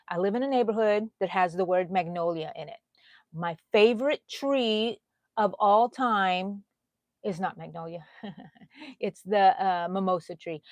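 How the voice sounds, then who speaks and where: A middle-aged woman from Texas, hosting a crochet live stream in a casual, chatty way, often jumping between loud talk and soft whispers. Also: mid-range voice, no echo. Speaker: crochet channel host.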